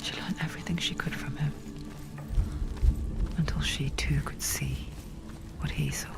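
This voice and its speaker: A woman voicing a secretive narrator inside a warrior's mind, telling a dark game story in a breathy, intimate, grave manner. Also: low voice, steady tone, unhurried. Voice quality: breathy whisper.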